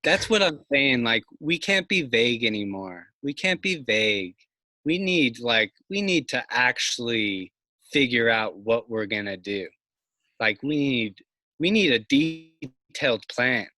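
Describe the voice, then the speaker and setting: A man in his thirties speaks over a video call from outside his house, his voice bright and forward with a dry, close sound. He talks slowly and thoughtfully, stopping often with fillers and restarts as he works through an idea. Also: medium pitch, animated, dry recording. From Massachusetts. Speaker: farmer, interview guest. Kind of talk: casual video call conversation. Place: outdoors by a house's siding. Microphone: video call, laptop or phone mic.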